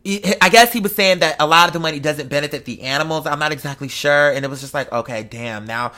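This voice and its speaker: High, bright-voiced man, emphatic and slangy. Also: quick pace. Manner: rambling, digressive. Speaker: online show host. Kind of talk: casual confessional chat to followers.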